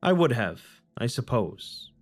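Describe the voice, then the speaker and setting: A man's voice: medium pitch, very animated, slow, faint music behind. A man voicing a devout, honor-bound woman saint who speaks solemnly and sternly. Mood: guarded, stern.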